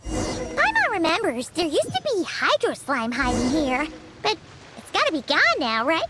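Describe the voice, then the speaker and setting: Super high squeaky little game fairy, cheeky n excitable, really bouncy pitch. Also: female voice, normal speed, very noisy. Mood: puzzled. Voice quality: squeaky, childlike. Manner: exclamatory, expressive, refers to self by name. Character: tiny childlike floating fairy companion, excitable and cheeky. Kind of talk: video game voice acting.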